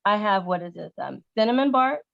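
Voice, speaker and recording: A cheerful, happy-go-lucky woman casually pitching her products as a guest seller. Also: animated, fairly quick, vocal fry, dull audio. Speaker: guest vendor of essential oils.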